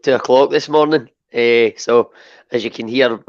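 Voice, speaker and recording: A hoarse Scottish man co-hosting a podcast, relaxed and talking with lots of fillers. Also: medium pitch, normal speed, no echo, muffled recording. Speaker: podcast co-host. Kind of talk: casual podcast chat.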